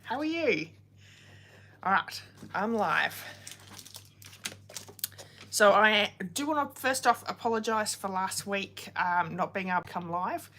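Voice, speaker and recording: A woman's voice: medium pitch, lively intonation, slow pace, pauses often, bright, forceful tone, slight background noise. A woman, hosting a casual card-making livestream, chatty and greeting viewers by name while coming down with a cold and a sore throat.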